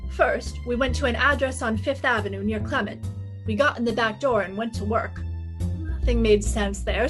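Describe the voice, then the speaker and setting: A woman's voice: on the high side, lightly acted. A woman playing a sassy, confident private detective in a 1950s-style radio drama, breezy, wry and commanding.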